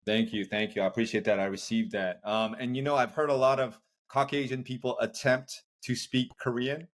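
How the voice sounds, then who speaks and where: A man's voice: mid-range voice, fairly even intonation, moderate pace. A man in his thirties hosting an interview, casual and affirming, with many fillers. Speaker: podcast host, doctor.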